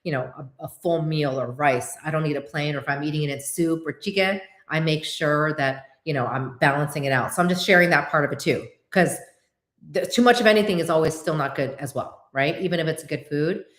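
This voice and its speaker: A woman in her forties, born to Korean as a first language, hosting a casual livestream chat and answering viewers in an animated way. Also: sits low, a little fast, reverberant. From United States. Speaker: host.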